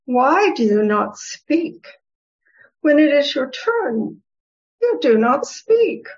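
High-voiced, unhurried wise goddess played by a woman. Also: big pitch swings, a little mellow, exaggerated voice. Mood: gently teasing. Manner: deliberate, formal, instructive delivery. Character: serene, wise goddess, gently teasing a monk. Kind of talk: dramatic reading of Buddhist scripture. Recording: dull, lo-fi recording.

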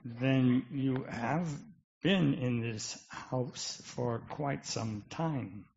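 A man reading the part of an earnest, puzzled Buddhist monk in a scripture drama, with halting, formal phrasing. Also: medium pitch, gravelly, a little mellow, slight background noise. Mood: earnest, questioning. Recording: dull, lo-fi recording.

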